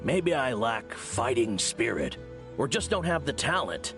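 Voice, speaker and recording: An actor playing a laid-back, self-deprecating young warrior in a video game, talking in a lazy, shrugging way. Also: male voice, medium pitch, big pitch swings, unhurried, over music.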